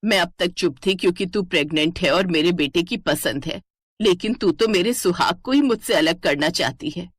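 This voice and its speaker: A woman voicing an older village mother-in-law, patient but proudly firm, in an animated family story. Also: lowish voice, normal speed, slightly rough, no room sound, clean studio sound. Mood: indignant, firm.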